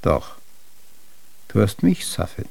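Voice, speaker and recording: A man's voice: slow, darkish tone, lightly acted. A man acting an earnest, tentative suitor and family friend in a German radio drama, speaking hesitantly.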